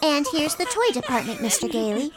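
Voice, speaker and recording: A woman's voice: high voice, big pitch swings, brisk, over a noisy crowd. A woman playing a precocious, skeptical little girl in a radio play, prim and matter-of-fact. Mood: knowing.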